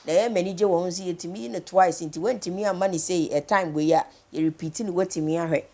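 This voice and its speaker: Female TV host, Ghanaian accent, lower register, casual code-switching chat. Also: fast, warm tone. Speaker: morning TV host.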